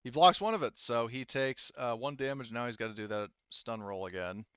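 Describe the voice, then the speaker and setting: A man's voice: medium pitch, brisk, muffled recording, noisy background. A man acting as game master in a tabletop roleplaying game, thinking aloud through rules and dice math.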